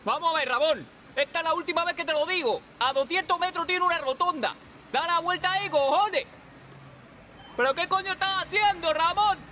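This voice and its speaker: A man's voice: very high voice, fairly quick, bright, forceful tone, a little hiss or hum. A man with a Castilian Spanish accent doing comic game dubbing as a sarcastic, exasperated, wisecracking superhero who swears theatrically. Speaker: video creator dubbing game bugs.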